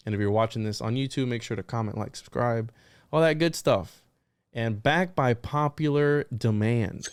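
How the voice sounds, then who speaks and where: A man hosts a casual podcast, a little raspy from a cold. He jokes in loose banter. His voice comes through smooth and dry, close to the mic. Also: medium pitch, dry recording. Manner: joking, conspiratorial banter. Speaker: podcast host.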